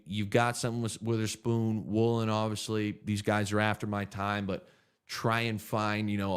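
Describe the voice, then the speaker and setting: A man's voice: lowish voice, clear, resonant tone. A man in his thirties hosting a casual livestream Q&A, laid-back and joking as he thinks aloud through viewer questions. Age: 30s. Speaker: podcast host answering viewer questions.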